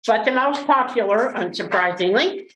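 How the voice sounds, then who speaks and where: A woman's voice: a little high, expressive, a bit bright. Woman moderating a forum in an echoey room. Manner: halting, with many fillers and restarts. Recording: echoey room with faint noise.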